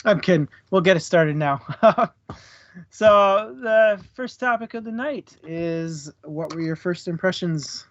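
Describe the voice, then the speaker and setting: A man co-hosting a book club livestream, chatting casually and jokily with his audience in an informal, halting way. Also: sits high, a bit bright, no echo, muffled recording. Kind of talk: casual chat to a live audience.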